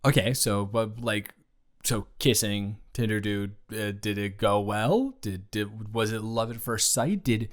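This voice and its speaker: A man playing a teasing, supportive male roommate in an improvised romantic audio roleplay, talking casually and stammering, with animated outbursts. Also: medium pitch, clean tone, close to an everyday voice. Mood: playful, teasing. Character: male roommate and friend, teasing, supportive, indignant on her behalf.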